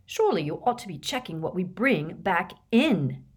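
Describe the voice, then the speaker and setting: A woman voicing a loud, blunt teenage boy who talks robustly and indignantly. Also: lowish voice, sing-song, normal speed, breathy edge. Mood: cheeky. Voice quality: robust, emphatic.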